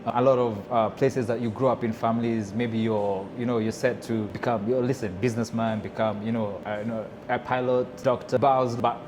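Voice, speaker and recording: A man's voice: mid-range voice, flat delivery, fairly quick, hardly any pauses, background noise. A man from Nairobi with a Kenyan accent, talking casually and conversationally as a chef being interviewed. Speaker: chef and restaurant owner.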